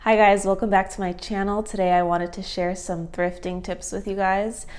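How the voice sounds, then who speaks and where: A woman's voice: mid-range voice, flat delivery, moderate pace, darkish tone, soft background music. A woman in her twenties with a nasal, stuffed-up voice from allergies, chatting casually to camera and giving tips. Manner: chatty, friendly, many fillers like "like" and "so". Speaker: thrifting vlogger. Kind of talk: casual vlog talk to camera.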